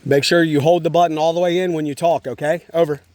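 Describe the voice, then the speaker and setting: A man's voice: on the high side, steady tone, fast, a little mellow. A man talking casually and playfully to his young child outdoors, in simple, encouraging phrases with frequent check-ins. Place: outdoors at a park in the rain. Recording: noisy outdoor home-video audio.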